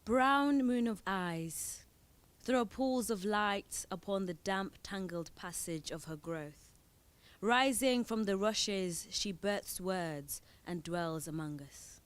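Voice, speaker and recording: A woman's voice: mid-range voice, slow pace, smooth. A woman from Zimbabwe who is a spoken word performer, reading her poems on stage with short spoken introductions. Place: indoor event venue.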